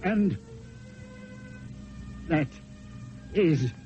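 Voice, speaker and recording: A man's voice: fairly high pitch, lively intonation, mellow. An actor playing a proud, doomed romantic swordsman-poet, declaiming grand verse in an old-time radio drama. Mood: defiant, exalted. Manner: slow, declamatory, theatrical. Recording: muffled old radio broadcast with music.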